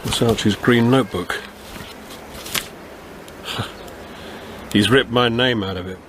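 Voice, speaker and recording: An actor playing an older, earnest British police constable in a radio drama, questioning firmly but patiently. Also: male voice, medium pitch, slow pace, noisy background, lightly acted. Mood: troubled.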